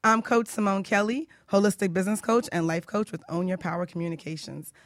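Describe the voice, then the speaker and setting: A woman's voice: medium pitch, steady tone, fairly quick, no echo. A woman hosting a radio show in a casual, chatty, conversational way. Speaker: radio show host and life coach. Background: faint music.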